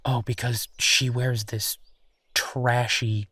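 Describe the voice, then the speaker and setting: A man playing a candid, awkward werewolf in an intimate audio roleplay, talking in a halting, conversational way with sighs. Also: medium pitch, little pitch movement, bright, forceful tone, husky, heavily acted. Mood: disgusted, exasperated. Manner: halting, hesitant, sighing, conversational. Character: easygoing young male werewolf, awkward, candid, bitter about rival.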